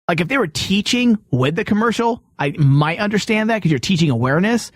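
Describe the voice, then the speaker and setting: A man's voice: sits high, lively intonation, brisk, dry recording, studio quality. A man hosting a comedy news show with casual, crude banter, joking and rambling in an incredulous way.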